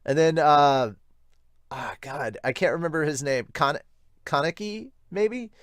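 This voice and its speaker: A man hosting a manga review, giving casual read-along commentary with amused, excitable asides. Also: on the high side, a little slow, dry recording, clean studio sound. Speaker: host of a manga review podcast.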